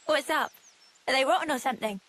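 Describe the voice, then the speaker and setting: A woman's voice: high voice, fast talker, fairly bright tone. A woman playing a casual, cheeky young adventurer in a video game. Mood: wry. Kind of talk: video game cutscene acting.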